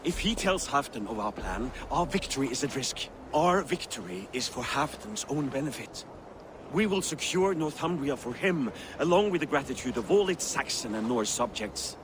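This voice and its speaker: A proud, hot-tempered war councillor in a game's noisy Norse camp, formal words rising and plunging. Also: male voice, on the high side, very animated, normal speed. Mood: scheming, intent. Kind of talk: video game dramatic acting.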